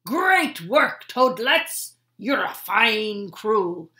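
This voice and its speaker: A woman voicing a gruff, hearty old male sea-captain frog in a storybook read-aloud. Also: mid-range voice, big pitch swings, full character voice. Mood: jolly. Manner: loud, drawn-out and gruff.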